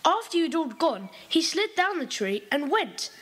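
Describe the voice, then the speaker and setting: A woman's voice: high-pitched, big pitch swings, a little slow, a bit bright, full character voice. A woman voicing an eager young boy in an audio drama, who talks in an earnest way as he reports what he saw.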